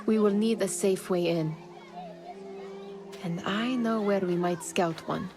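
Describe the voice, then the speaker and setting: A woman's voice: mid-range voice, slow pace, crowd noise. An actress playing a skeptical, loyal young woman thief in a video game, with a dry, chiding, formal manner. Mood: exasperated, chiding. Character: sharp-tongued, skeptical young female street thief, loyal companion. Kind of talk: dramatic video game voice acting. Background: music.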